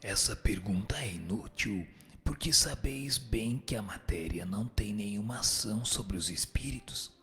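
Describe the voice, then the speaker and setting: A man playing a calm, firm spirit teacher who gives measured, didactic answers in a scripted reading, with a breathy, gravelly voice. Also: fairly low pitch. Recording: music bed under the voice.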